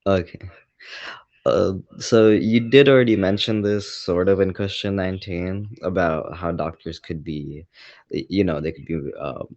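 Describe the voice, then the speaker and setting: A man's voice: low voice, measured pace, a little mellow, no room sound, highs cut off. A man interviewing, asking long, hesitant questions full of fillers.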